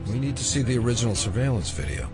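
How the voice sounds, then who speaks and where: A man's voice: medium pitch, big pitch swings, very fast, over music, lightly acted. A man playing a wry, suspicious American federal agent in an audio drama, speaking in a dry, understated way. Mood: focused, suspicious.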